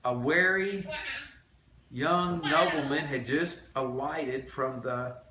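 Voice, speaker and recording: An older man reading a children's storybook aloud in an animated, grandfatherly style. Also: a little high, takes it slowly. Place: indoors at home, children around. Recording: echoey room, background noise.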